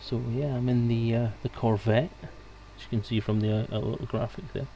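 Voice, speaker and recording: A man's voice: medium pitch, fairly even intonation, moderate pace, warm tone, lo-fi sound, a little hiss or hum. A man hosting a live stream in casual, unscripted, rambling chat.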